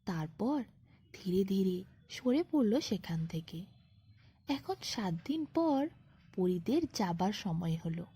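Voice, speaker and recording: A woman narrating a scripted bedtime fairy tale as a storyteller, in an expressive, unhurried manner. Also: mid-range voice, breathy edge.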